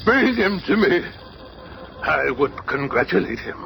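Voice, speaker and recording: An actor playing a haughty, impatient alien emperor, speaking imperiously and theatrically in a 1970s superhero radio drama. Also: male voice, fairly high pitch, big pitch swings, a little slow, vocal fry, heavily acted. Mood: demanding. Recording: noisy old 1970s radio recording.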